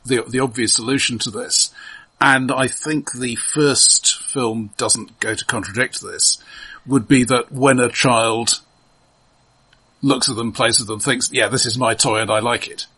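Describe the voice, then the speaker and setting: A man's voice: mid-range voice, steady tone, a little slow, bright, forceful tone, husky, low-quality recording. A man co-hosting a casual, analytical film discussion, reasoning tentatively step by step. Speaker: podcast host.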